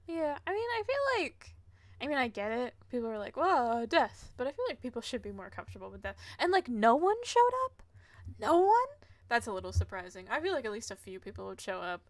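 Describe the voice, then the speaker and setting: A woman's voice: a little high, sing-song, brisk, no echo. A woman game streamer chatting and commenting casually live, in a giggly, rambling way.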